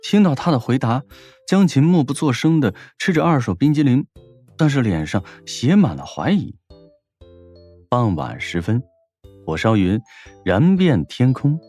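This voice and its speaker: Lively male audiobook narrator. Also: mid-range voice, a little slow, long pauses, soft background music.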